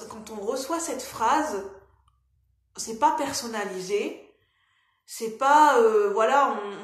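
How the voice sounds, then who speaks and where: A French woman with a cold, talking to camera in a casual vlog and often hesitating, with many fillers and restarts. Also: on the high side. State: has a cold. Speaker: vlogger and life coach. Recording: echoey room.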